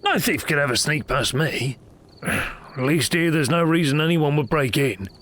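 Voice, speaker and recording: A man's voice: fairly high pitch, expressive, moderate pace, fairly bright tone. A man voicing a drowsy, dim, easygoing sewer guard in a fantasy audio drama, with a sleepy, swooping manner. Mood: defensive.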